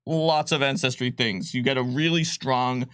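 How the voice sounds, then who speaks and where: A man's voice: mid-range voice, fairly even intonation, moderate pace, a bit bright, dry recording, studio quality. A man casually explaining tabletop game rules on a livestream, rambling with lots of likes and ums. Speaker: game designer presenting rules.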